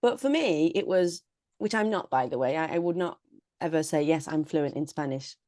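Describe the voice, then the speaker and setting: Low-voiced British woman chatting. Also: expressive, normal speed, dark tone, no room sound, studio quality. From United Kingdom. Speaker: podcast co-host. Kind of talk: casual chat.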